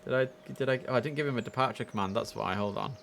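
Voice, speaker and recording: A man's voice: mid-range voice, racing, creaky, fairly bright tone, a little hiss or hum. A young man giving chatty, enthusiastic casual commentary as he streams a game live from indoors. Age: young adult. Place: indoors at a computer.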